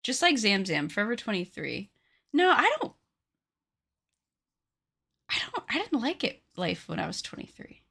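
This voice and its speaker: A woman's voice: mid-range voice, sing-song, moderate pace, creaky, long pauses, sharp tone, breathy edge. A woman in her late twenties chatting casually with her live-stream viewers while she crafts, joking and teasing herself. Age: 20s. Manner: chatty, joking with chat, self-deprecating.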